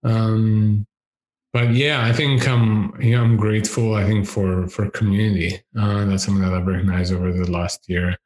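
A man with a deep voice, a guest in a casual interview, talking on a headset mic, hesitant with many fillers and frequent laughs. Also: level tone, normal speed, darkish tone, reverberant, rough recording. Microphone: headset microphone.

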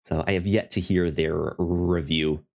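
Slow, stuttering man podcasting casually. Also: low-pitched, fairly even intonation, vocal fry, a little mellow. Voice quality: stutters, repeating syllables and words. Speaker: podcast co-host. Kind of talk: casual chat and show recap.

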